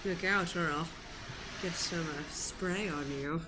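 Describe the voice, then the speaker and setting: A woman's voice: fairly low pitch, slow, over music, poor audio. A woman playing a smug, flirtatious male suitor in an improvised radio play, with affectedly refined, suggestive phrasing.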